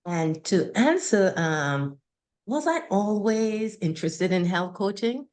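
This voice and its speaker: Slow-speaking Trinidadian older woman. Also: medium pitch, lively intonation, a little mellow. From Trinidad and Tobago. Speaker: guest. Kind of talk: interview conversation.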